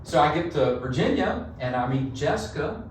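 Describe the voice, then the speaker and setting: A man's voice: a little high, normal speed. Southern man telling stories, echoey room. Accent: Southern US, North Carolina. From North Carolina. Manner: animated, folksy storyteller with a drawl. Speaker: marketing agency co-founder, guest. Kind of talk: conversational podcast interview. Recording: roomy echo, distant mic, noisy.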